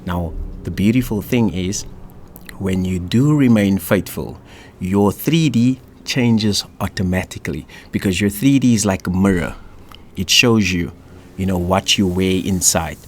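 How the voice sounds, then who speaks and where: A man with a fairly low voice talks in an emphatic, repetitive coaching style, punching points with "boom". A light hiss of background noise sits under the recording. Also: unhurried. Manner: emphatic, repeats phrases, says "boom". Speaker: manifestation coach, co-host. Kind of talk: casual coaching chat.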